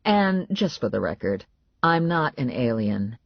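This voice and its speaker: A self-assured, easygoing man, played by a woman narrator, dropped low with a husky edge. The lines come out dry and wry, in a comic audiobook. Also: sing-song, a little slow, no echo, lo-fi sound, exaggerated voice. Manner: dry, casual, low-key. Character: laid-back, wry, self-assured man with mysterious powers.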